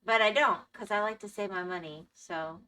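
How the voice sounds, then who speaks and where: A woman's voice: mid-range voice, moderate pace, pushed and bright. A woman streaming a game and chatting casually with her viewers while losing her voice. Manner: chatty, reads and answers chat. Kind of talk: casual live-stream chat.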